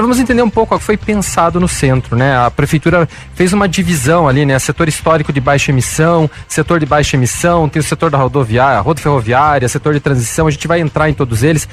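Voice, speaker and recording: A man hosting a show, presenting segments and asking interview questions. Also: medium pitch. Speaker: radio show host, interviewer.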